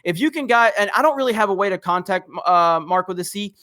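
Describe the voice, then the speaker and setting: A man's voice: high voice, expressive, fast talker, sharp tone. A man hosting a live stream, reading comments in a rambling, casual way with lots of fillers and chuckles.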